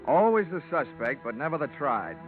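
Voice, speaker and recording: An actor playing a hard-boiled, wisecracking insurance investigator in a 1940s radio detective drama, with a wry, clipped, deadpan delivery. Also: male voice, on the high side, normal speed, loud music behind the voice. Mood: wry narration. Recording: thin, phone-like old radio recording.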